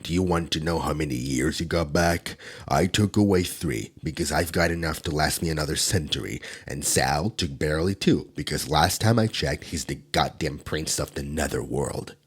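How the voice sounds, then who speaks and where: A man playing a stern male demon enforcer who is gruff but protective, speaking in a measured, scolding way in an ASMR audio roleplay. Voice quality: deep gravelly husky voice. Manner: scolding, measured, low-key delivery.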